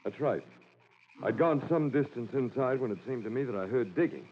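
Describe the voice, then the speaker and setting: A man's voice: medium pitch, lively intonation, moderate pace, close to an everyday voice. An actor in an old radio drama playing an older married man, grave, suspicious and used to giving orders. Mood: tense recounting. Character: grave older husband, suspicious, authoritative expedition leader. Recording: muffled vintage radio recording, faint noise.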